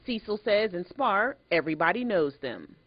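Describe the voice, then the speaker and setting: A woman's voice: very animated, normal speed, a little hiss or hum. A woman working as a TV news reporter, reading scripted news narration. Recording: dull, muffled low-fidelity audio.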